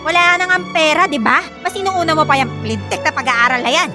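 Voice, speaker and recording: Shrill, drunken, bitter Filipino mother. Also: animated, fairly quick. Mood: drunken, irritable. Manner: slurring taunts, scornful, hectoring. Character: drunken, bitter middle-aged mother, volatile and spiteful. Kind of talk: Filipino radio drama acting. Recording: music bed under the voice.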